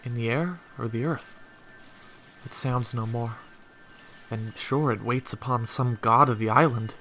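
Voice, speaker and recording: An actor playing a grieving, lovestruck young shipwrecked prince, speaking earnest verse in a Shakespearean audio drama. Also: male voice, medium pitch, a little slow, a bit bright, soft background music, natural voice. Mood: grieving wonder. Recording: noisy remote online recording.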